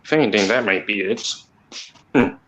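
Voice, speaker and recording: A man with an accent, talking quietly and haltingly in a casual call discussion as the host, rambling and restarting his sentences. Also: fairly low pitch, muffled recording. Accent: non-native or regional accent. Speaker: channel host.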